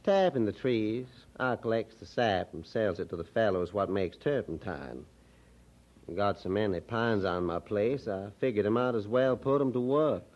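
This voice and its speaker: A male actor voices an amiable backwoods man with a rural Southern US drawl. His voice is on the low side and his talk is folksy and plain. The audio drama sounds slightly dull. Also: normal speed, a little mellow, close to an everyday voice. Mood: proud. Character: plain-spoken rural husband, reformed drinker, amiable.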